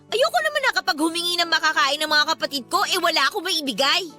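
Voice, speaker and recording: Very high-voiced, frustrated teenage daughter. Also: female voice, expressive, fast talker, sharp tone, soft background music, full character voice. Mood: defensive. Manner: pleading, questioning, respectful to her father. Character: distressed teenage daughter of a poor flooded family. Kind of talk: Tagalog radio drama acting.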